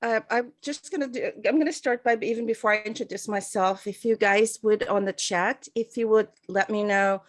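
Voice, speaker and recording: A woman's voice: medium pitch, fairly even intonation, normal speed, hardly any pauses. A woman, giving a chatty online talk over a video call, with lots of fillers and self-deprecating asides. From Portugal. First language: Portuguese. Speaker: guest speaker. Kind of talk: online presentation.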